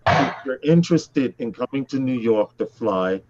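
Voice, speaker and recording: A man's voice: medium pitch, lively intonation, normal speed, noisy background. Man co-hosting a drone livestream, lo-fi. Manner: loose rambling talk, frequent throat clearing. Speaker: livestream co-host. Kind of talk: casual live chat.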